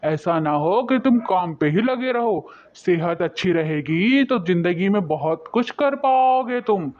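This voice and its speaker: A man's voice: sits high, expressive, a little slow, muffled recording, slight background noise. A man playing a generous, caring rich village employer in a cartoon moral story, talking in a fatherly, solicitous way. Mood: kindly instructing. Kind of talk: Hindi animated moral story dialogue.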